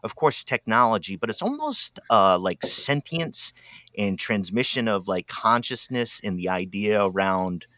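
Hesitant low-voiced male interviewer. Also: very animated, unhurried, sharp tone, dry recording, studio quality. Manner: hesitant, fumbling for words. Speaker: interviewer, host. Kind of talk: casual interview conversation.

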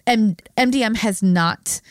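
A woman co-hosting a podcast, hoarse from a cold, talking with passion and strong opinions. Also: medium pitch, a little slow, dry recording, studio quality. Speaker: podcast co-host. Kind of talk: casual chat.

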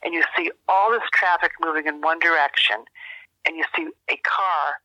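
An older woman speaking as an interview guest in a measured, thoughtful way, often searching for her words. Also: sits low, pushed and bright, no echo. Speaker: guest, journalist and journalism teacher. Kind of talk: reflective interview conversation. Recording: dull telephone-like line.

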